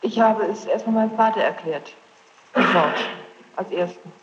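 A woman's voice: mid-range voice, normal speed. A young woman giving spontaneous testimony as a witness, defensive and repeating herself. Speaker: witness under questioning. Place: large echoing courtroom. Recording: dull, noisy archive courtroom tape, echoey hall.

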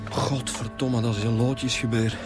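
An actor playing a conscientious, troubled Flemish homicide detective in a radio drama, speaking earnestly. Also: male voice, medium pitch, level tone, moderate pace, natural voice. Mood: straining. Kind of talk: naturalistic radio drama acting. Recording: music behind the voice.

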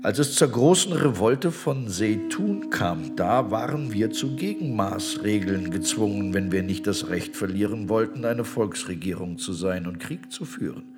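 An actor playing a self-righteous Ottoman minister in a radio play, speaking in a measured, lecturing, dismissive way. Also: fairly low pitch, lively intonation, loud music behind the voice. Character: cold self-righteous male Ottoman statesman. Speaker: government minister.

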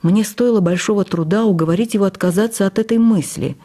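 Mellow woman reading a literary memoir. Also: mid-range voice, fast. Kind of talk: expressive literary memoir narration for radio.

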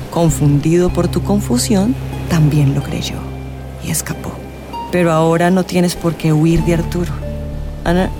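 An actor voices an eccentric astrologer in a radio play. The delivery is theatrical and oracular, teasing and probing, at a medium pitch. Music and soundscape sit clearly behind the voice. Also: close to an everyday voice. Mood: confident, analytical. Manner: dramatic, oracular, drawn-out pronouncements. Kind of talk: theatrical radio drama acting. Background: music, real outdoor soundscapes.

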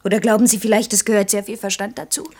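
A woman's voice: medium pitch, fairly even intonation, fast talker, breathy edge. A young actress playing a proud, outspoken young working woman in an intimate German radio drama, earnest and reproachful. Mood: defiant. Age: young adult. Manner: earnest, reproachful, shifting between insistent outbursts and hushed confiding.

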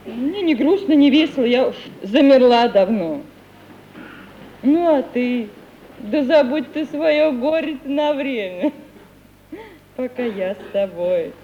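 A woman's voice: sits high, animated, slow, long pauses, mellow. An actress playing a tender, wistful young woman in love, coaxing and emotional, in an old radio play. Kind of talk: 1950 Russian radio play acting. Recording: muffled, hissy 1950s radio recording.

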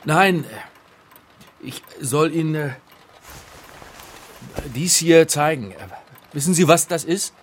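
A man's voice: fairly high pitch, takes it slowly, pauses often, slight background noise. An actor playing an uneasy academic who narrates his own story in a radio crime drama. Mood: hesitant, polite. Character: uneasy religious studies lecturer on the run, crime narrator.

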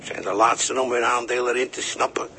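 A man's voice: mid-range voice, level tone, brisk, sharp tone. A man acting a cryptic, mocking sailor who preaches philosophy, in a 1960s radio drama. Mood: taunting, preaching. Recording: noisy 1960s radio recording.

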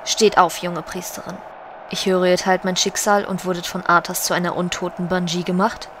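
A woman's voice: fairly low pitch, flat delivery, moderate pace, close to an everyday voice. A woman playing a regal, stern undead elf queen in a fantasy parody audio drama, speaking with formal, archaic courtesy. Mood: regal, composed. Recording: background noise.

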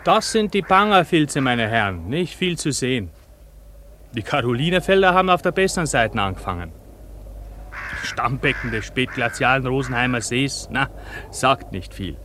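An actor playing a brisk, skeptical moorland inspector in a radio drama. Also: male voice, medium pitch, expressive, sharp tone, slight background noise. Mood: matter-of-fact, skeptical. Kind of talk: German radio drama acting. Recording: faint outdoor ambience with crows.

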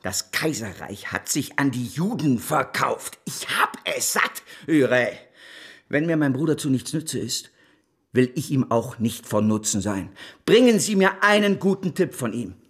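Radio drama newspaper boss: husky voice, imperious, scheming, very animated intonation. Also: male voice, medium pitch, a little slow, full character voice. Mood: demanding. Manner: imperious, declamatory. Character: irascible, domineering male newspaper boss and political schemer. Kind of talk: German radio drama acting.

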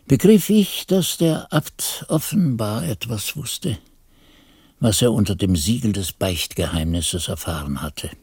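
A man playing a reflective monk who narrates his memories in a radio play, grave, literary and measured. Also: low-pitched, darkish tone, breathy edge, no echo, clean studio sound, full character voice. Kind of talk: scripted first-person narration in radio play.

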